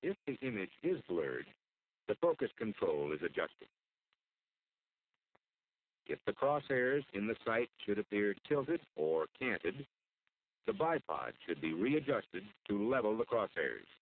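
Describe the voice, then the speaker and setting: An American man delivers formal, measured scripted narration for an instructional military training film. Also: on the low side, big pitch swings. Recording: muffled phone-like old film soundtrack.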